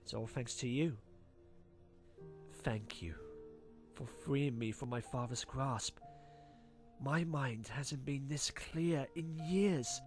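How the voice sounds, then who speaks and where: A man playing a sincere, grateful young pony with remorse to show, in an animated drama. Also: medium pitch, slow pace, long pauses, faint music behind, close to an everyday voice. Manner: expressive, sincere. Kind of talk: animated fan drama dialogue.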